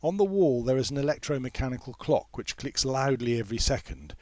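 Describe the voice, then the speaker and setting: A man narrating a literary story from a script in a precise, measured manner. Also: mid-range voice, no room sound. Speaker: author and narrator.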